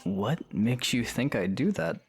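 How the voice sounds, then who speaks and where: An actor playing a calm, protective young hero in an audio drama, terse and matter-of-fact. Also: male voice, medium pitch, measured pace, faint music behind. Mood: mildly defensive. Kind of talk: fan audio drama acting.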